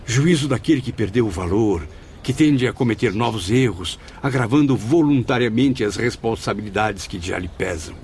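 A man's voice: lowish voice, animated, normal speed, darkish tone, breathy edge, heavily acted. An actor playing a melancholy, guilt-ridden male spirit in a radio drama, with solemn, literary Brazilian diction. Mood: self-reproachful.

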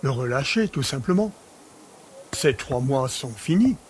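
A man's voice: mid-range voice, animated, a little slow, slight background noise, lightly acted. An actor playing a smug, teasing male relative in a French audio drama, with a familiar, needling manner. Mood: sly, knowing.

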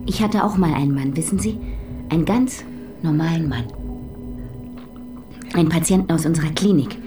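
An actress in a radio crime play voicing a lonely, jilted nurse who poisons her rivals, speaking in soft, drawn-out, menacing whispers. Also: low-pitched, lightly acted. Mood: confiding. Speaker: murderer. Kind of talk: radio crime drama acting. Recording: radio play with background music.

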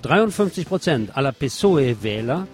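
A man's voice: mid-range voice, big pitch swings, normal speed. Wry male radio news host over music. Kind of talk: scripted news commentary with wry asides.

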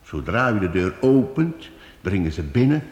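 A man voices a shrewd wandering minstrel in a radio play, laying out a plan in a measured, steady way. His voice sits very low. It sounds roomy, with a long reverb. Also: highs cut off. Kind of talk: radio drama acting.

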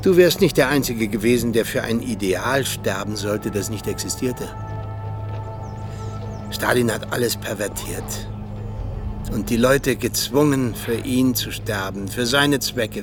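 An actor playing a cynical, disillusioned Soviet agent and former mentor in a radio drama, confessing in weighty, deliberate phrases. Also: male voice, medium pitch, music in the background, natural voice. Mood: bitter, cynical. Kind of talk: German radio drama acting.

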